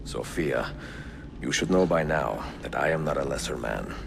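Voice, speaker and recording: An actor playing a weary, reflective older Italian assassin in a game cutscene, speaking in a measured, solemn way with an Italian accent. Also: male voice, very deep voice, animated, creaky, noisy background, exaggerated voice. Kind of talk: dramatic game cutscene dialogue and letter narration.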